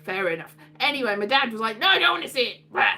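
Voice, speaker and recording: A woman's voice: fairly high pitch, sing-song, fast talker, pushed and bright, soft background music. A woman giving a rambling, casual comic monologue with a theatrical, wry, exasperated manner, slipping into sing-song and mimicked exchanges. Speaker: solo performer of a radio play monologue.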